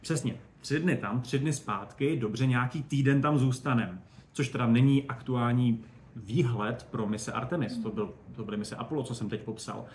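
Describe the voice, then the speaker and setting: A man giving animated, colloquial, digressive explanations as an expert guest in a casual interview. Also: mid-range voice. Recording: roomy echo, faint background noise.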